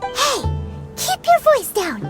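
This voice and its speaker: A woman voicing a tiny, chirpy, childlike fairy companion in a video game, chatty and blunt, with a squeaky voice. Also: wildly swooping pitch, slow, exaggerated voice. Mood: earnest, chatty. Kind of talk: video game character acting. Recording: music behind the voice.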